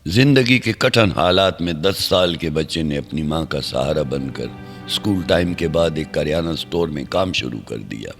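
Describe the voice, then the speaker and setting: Male narrator for radio drama: very low, solemn storytelling, soft music underneath. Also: lively intonation, moderate pace. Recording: faint music behind the voice.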